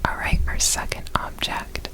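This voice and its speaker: A woman whispering an ASMR roleplay as an examiner, gentle and reassuring, repeating words softly in a breathy whisper. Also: measured pace. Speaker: ASMR performer playing an examiner.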